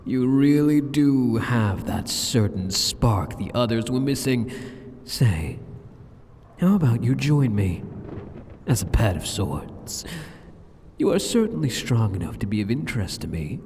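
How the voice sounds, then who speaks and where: A man playing a sadistic, arrogant demon king in a scripted ASMR roleplay, speaking straight to the listener in a taunting, condescending, theatrical manner with drawn-out phrases. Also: mid-range voice, warm tone, slight background noise. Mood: intrigued, teasing. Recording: long cavernous hall reverb.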